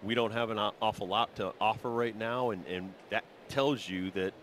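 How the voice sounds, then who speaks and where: Male color commentator, fairly low voice, conversational, explanatory, live baseball analysis, light background noise. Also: steady tone, normal speed, a bit bright.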